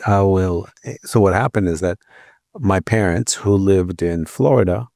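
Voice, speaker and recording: A man in his sixties, raised in Israel and then the American Midwest, answering interview questions in a measured, polite, modest way. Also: deep voice, gravelly, a little mellow, dry recording, studio quality. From Israel, raised in Minneapolis from age twelve. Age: 60s. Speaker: architect guest. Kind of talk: interview conversation.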